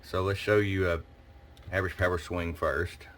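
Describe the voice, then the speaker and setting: A man's voice: low-pitched, steady tone, normal speed, fairly bright tone, a little hiss or hum. A man giving a casual, plain-spoken hands-on demonstration as a radio technician.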